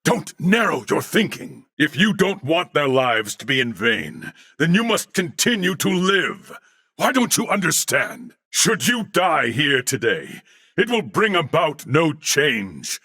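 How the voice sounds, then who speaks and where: An actor voicing a calm, courteous cybernetic alien in a video game, with a deep, gravelly voice and formal, measured, precise diction. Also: male voice, no echo, clean studio sound, exaggerated voice. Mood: impassioned, pleading. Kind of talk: dramatic video game voice acting.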